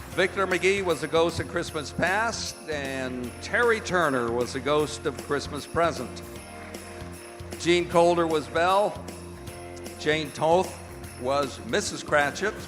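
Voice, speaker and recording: An American man announces like an old-time radio host, his voice fairly high for a man. He speaks from a stage in a hall with long reverb, with music clearly playing behind him. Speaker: announcer and director of a radio theater. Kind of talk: old-time radio announcing, reading credits and sponsor spots. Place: performing arts center stage.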